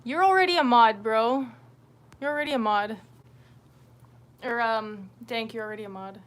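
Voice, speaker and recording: A woman's voice: on the high side, moderate pace, fairly bright tone. A woman hosting a livestream, chatting casually and off the cuff to viewers in a scattered, sweary way. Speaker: livestream host. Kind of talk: casual unscripted livestream chat.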